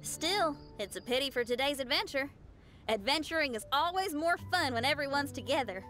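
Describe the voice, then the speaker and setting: Casting: adventurous young musician for a video game, high and animated, upbeat, breezy delivery. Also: female voice, moderate pace, faint music behind. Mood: friendly. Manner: breezy, enthusiastic.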